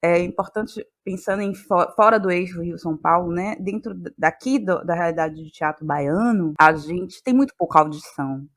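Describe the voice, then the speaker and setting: A woman's voice: lowish voice, normal speed, hardly any pauses. A woman in her forties with a Bahian Brazilian accent, talking reflectively as an interview guest, building points emphatically through repeated phrases. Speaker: guest, playwright, actress and journalist.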